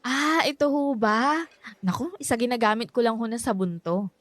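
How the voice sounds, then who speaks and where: A woman's voice: on the high side, moderate pace, a bit bright, no room sound, natural voice. An actress playing a friendly young Filipino woman in a radio drama, speaking politely with a playful, slightly hesitant manner and a Filipino accent. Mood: chatty. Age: young adult. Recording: background music.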